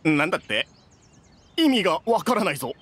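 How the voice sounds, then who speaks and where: A man's voice: sits high, sing-song, a little fast, a bit bright, slight background noise. An actor playing an impatient, greedy male treasure seeker, brash and grumbling, in a game. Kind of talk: game voice acting.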